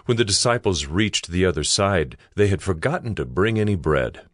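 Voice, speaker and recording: A man's voice: low voice, animated, normal speed, dry recording. A man reading scripted narration in a dramatized scripture production. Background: background music.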